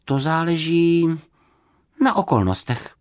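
A cheerful young girl in a children's storybook, played by an adult narrator. She chatters in a high, lively voice, confident, eager and friendly. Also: close to an everyday voice. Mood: matter-of-fact.

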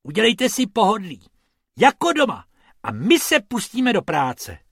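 A man voicing a cheerful, bossy male rabbit magician for a children's storybook, excitably chanting rhymes and commands. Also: high-pitched, creaky, husky, no room sound, studio quality, pitched above the performer's own voice, full character voice. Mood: brisk, bossy.

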